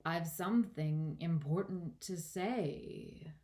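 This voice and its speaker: A woman voicing a languid, sleepy caterpillar in a children's storybook, curt and contemptuous, with breathy, drawn-out speech. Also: on the low side, a little mellow. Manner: drawn-out, drowsy delivery with terse replies. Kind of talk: children's storybook read-aloud.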